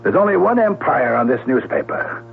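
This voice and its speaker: Gravelly, imperious newspaper boss, tinny sound. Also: male voice, medium pitch, sing-song, moderate pace. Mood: imperious, scornful. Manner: blunt, curt, domineering. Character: gruff, imperious, cold male newspaper boss. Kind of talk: 1970s radio drama acting. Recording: tinny, noisy vintage radio broadcast with hum.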